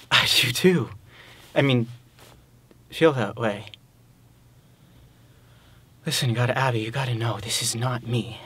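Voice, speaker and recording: Desperate, halting man an actor in a monologue. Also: medium pitch, bright, forceful tone, breathy edge, heavily acted. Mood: defensive, then uneasy, earnest. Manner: halting, repetitive, swearing, emphatic. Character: desperate, self-loathing, pleading adulterous man nearing middle age. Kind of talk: dramatic stage monologue, naturalistic acting.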